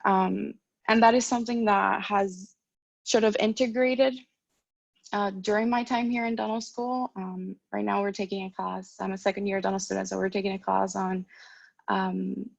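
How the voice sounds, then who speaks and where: Gravelly young woman, flat delivery. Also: mid-range voice, moderate pace, no echo. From Cuba. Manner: earnest, with frequent ums and restarts. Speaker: dental student panelist. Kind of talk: panel talk, personal story. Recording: dull lo-fi dry sound.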